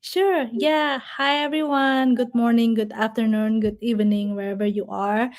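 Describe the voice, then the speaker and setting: A woman's voice: fairly high pitch, flat delivery, moderate pace, darkish tone. A woman in her thirties from Indonesia, a guest telling her story in a casual interview, with many fillers and restarts and frequent laughter.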